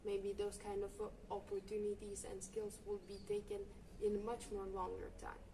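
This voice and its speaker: A woman's voice: medium pitch, completely flat delivery, normal speed, dark tone. A young woman from Lithuania, reflecting thoughtfully on her volunteering work in fluent but English. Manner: fluent, thoughtful, non-native phrasing. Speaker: volunteer project coordinator. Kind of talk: reflective interview answers. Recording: distant mic with noticeable background noise.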